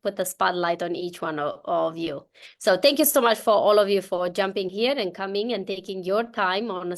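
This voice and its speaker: A woman from Romania with a Romanian accent, hosting a casual online group call, bubbly and quick to laugh, often restarting her sentences. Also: mid-range voice. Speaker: host.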